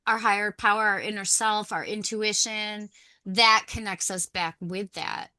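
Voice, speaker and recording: Bright, chatty woman telling stories. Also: medium pitch, fairly even intonation, unhurried, no room sound. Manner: chatty storyteller, acts out quoted people. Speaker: podcast co-host and tarot reader. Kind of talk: casual podcast conversation.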